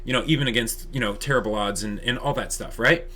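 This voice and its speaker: A man co-hosting an online channel, explaining ideas casually and eagerly, halting and repeating words as he goes. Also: mid-range voice, sharp tone, slight background noise.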